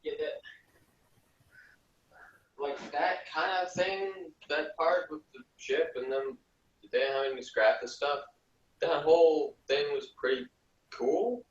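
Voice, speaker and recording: A man giving casual, critical opinions on a TV show in a hesitant, halting way. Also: fairly high pitch. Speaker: podcast reviewer. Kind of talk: casual TV review chat. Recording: roomy, distant, slightly muffled.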